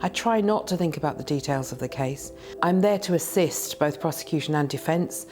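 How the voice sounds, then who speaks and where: Low-voiced woman interviewee. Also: a little fast, darkish tone, soft background music. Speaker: witness intermediary. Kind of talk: interview talk about own work.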